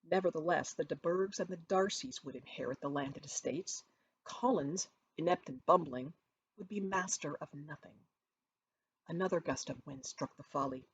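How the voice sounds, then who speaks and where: A woman narrates a novel as its author, in an expressive storytelling style. Her voice is slightly low, with a husky edge to it. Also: moderate pace, low-quality recording. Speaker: author reading from own book.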